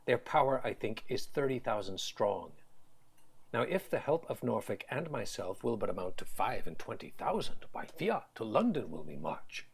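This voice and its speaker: Man acting a gravelly, defiant warlord earl. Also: fairly low pitch, big pitch swings, moderate pace, full character voice. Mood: rallying, commanding. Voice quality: gravelly, husky. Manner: declamatory, resolute. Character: male warlike earl, bold, defiant kingmaker. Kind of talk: Shakespearean audio drama.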